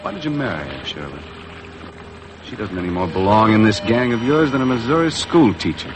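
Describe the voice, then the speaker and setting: Tough blunt sea captain, low pitch, American accent, hard-boiled radio drama delivery, noisy vintage recording. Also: male voice, sing-song, fairly quick. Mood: stern. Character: tough, blunt, world-weary charter boat skipper. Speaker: lead actor and narrator of a radio adventure. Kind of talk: 1950s radio drama acting.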